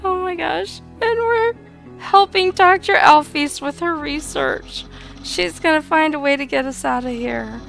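A woman voicing a proud, excitable male skeleton guard captain in a grandiose, exclamatory way for a video game. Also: sits high, unhurried, gravelly, soft background music, pitched above the performer's own voice, exaggerated voice. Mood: excited. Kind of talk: dramatic video game dialogue reading.

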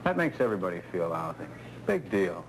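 An actor playing a seasoned, folksy American coworker giving persuasive advice in a 1970s training film. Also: male voice, low voice, very animated, fairly quick, low-quality recording. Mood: earnest, coaxing. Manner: colloquial, persuasive, rhetorical questions. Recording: 1970s film soundtrack, faint noise.

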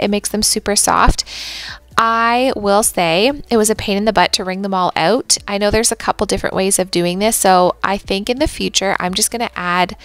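A woman's voice: medium pitch, fairly bright tone, clean tone, no room sound. A woman in her thirties, a mother of three, narrating a cleaning vlog in a friendly, chatty voice-over with light laughs. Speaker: vlogger and mom.

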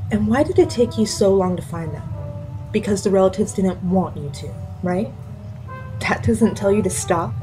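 A woman's voice: mid-range voice, expressive, moderate pace, music in the background, lightly acted, rough recording. An actress playing a level-headed, blunt woman in a naturalistic audio drama. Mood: firm, reproving.

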